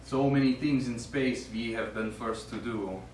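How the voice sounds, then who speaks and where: An actor playing a proud, teasing Russian astronaut in a stage play, speaking with a Russian accent in clipped phrasing. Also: male voice, mid-range voice, a little slow, a little mellow, close to an everyday voice. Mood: proud, earnest. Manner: clipped phrasing with dropped articles. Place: small studio theatre, recorded from afar. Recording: roomy, noisy, distant stage recording.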